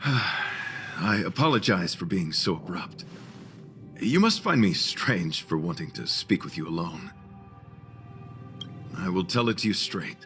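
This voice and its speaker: A man's voice: deep voice, very animated, unhurried, long pauses, a bit bright, soft background music, full character voice. A man voicing an earnest, flowery knight in a video game, formal and pompous. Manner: pompous, formal, archaic wording. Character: courtly, earnest, flowery knight, theatrically noble.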